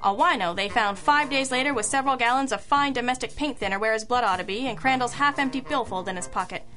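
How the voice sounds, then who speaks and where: Bright, wry girl detective. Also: a little high, fast talker. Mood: wry, brisk. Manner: dry, wisecracking. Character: wry, self-assured girl detective in a hard-boiled mystery. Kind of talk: old-school radio detective narration. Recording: music under the voice.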